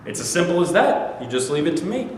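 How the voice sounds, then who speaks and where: A man playing a smooth real estate pitchman. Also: big pitch swings, quick pace, a little hiss or hum. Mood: reassuring. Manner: hesitant, filler-laden salesman pitch. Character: smooth-talking male real estate dealer, about forty. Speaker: actor in a staged reading. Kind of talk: staged reading. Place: large rehearsal studio. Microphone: far camera. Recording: echoey studio from far off.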